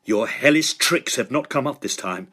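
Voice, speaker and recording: An actor playing an earnest, blunt young Victorian student in an audio drama, with emphatic old-fashioned phrasing. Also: male voice, mid-range voice, animated, moderate pace, sharp tone, breathy edge. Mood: angry defiance. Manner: emphatic, old-fashioned turns of phrase, some hesitant stammering. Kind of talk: period audio drama acting.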